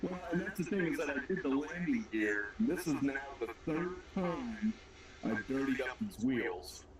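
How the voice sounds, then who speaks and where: A man's voice: medium pitch, expressive, unhurried, distant mic. Man, casual livestream co-host, rambling and self-deprecating, crowd chatter behind. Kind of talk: casual chat while building a model kit.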